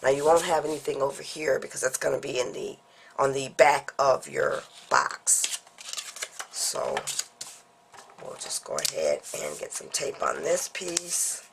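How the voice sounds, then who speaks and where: Stuffy congested lady showing how to make a paper card, low voice, chatty, explains every step, bit of faint noise behind. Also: animated, a little slow, creaky. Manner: chatty, explains each step while working. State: congested from a cold. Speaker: papercraft tutorial host. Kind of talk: step-by-step craft demonstration.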